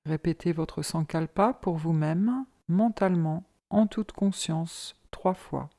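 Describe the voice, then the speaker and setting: A woman leading a guided yoga relaxation as an instructor, in a calm, measured, soothing manner. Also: lowish voice, vocal fry.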